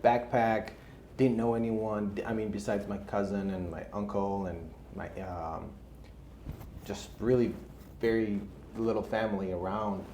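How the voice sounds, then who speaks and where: A man's voice: fairly low pitch, fairly even intonation, a little slow, dark tone. A man, telling his story casually as an interview guest. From Albania. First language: Albanian. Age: 30s. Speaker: interview guest, real estate investor. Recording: roomy echo, faint background noise.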